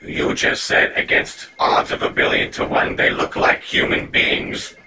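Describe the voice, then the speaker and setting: An actor playing a skeptical, sarcastic alien caller who argues with scornful disbelief in comic sci-fi audio theatre. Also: male voice, measured pace, sharp tone, a little hiss or hum. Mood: incredulous, scornful. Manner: incredulous, argumentative.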